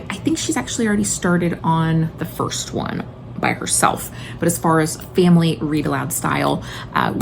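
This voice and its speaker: Woman, late 30s, fairly low voice, gravelly fry, chatty vlog style, enthusiastic, informal, faint background noise. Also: poor audio. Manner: enthusiastic, emphatic, informal address to viewers. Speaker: homeschooling mother and video host. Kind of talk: casual chatty book recommendation vlog.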